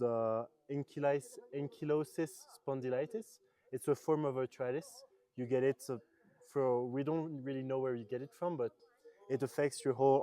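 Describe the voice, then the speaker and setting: Mellow-voiced young Canadian man. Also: medium pitch. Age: 20s. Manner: earnest, open, explaining carefully. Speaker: solo trekker. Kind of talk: casual interview answers.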